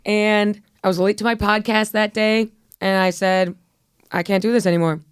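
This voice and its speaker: A young woman from Buffalo sits at a close studio mic and tells it straight, rambling and swearing freely. Her voice is smooth and resonant even as she says it is going. The sound is dry, with no room around it. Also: mid-range voice, moderate pace, dry recording. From Buffalo, New York. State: losing her voice. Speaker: guest comedian. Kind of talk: casual podcast chat.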